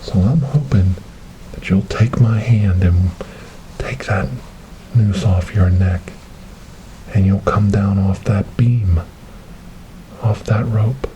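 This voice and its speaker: A man playing a gentle, devout rescuer in an ASMR storytelling roleplay, speaking softly and breathily in a soothing, reassuring way. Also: fairly low pitch, slow pace, pauses often, slight background noise, rough recording. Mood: earnest, comforting. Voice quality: soft, breathy, whispery. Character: gentle, devout male rescuer coaxing a lost ghost girl.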